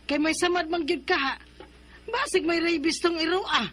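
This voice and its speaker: A woman plays a scolding neighbor in a radio drama. The voice sits very high and bright. She speaks bluntly and lectures without softening her words. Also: normal speed. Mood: worried. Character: sharp-tongued woman confronting a neighbor.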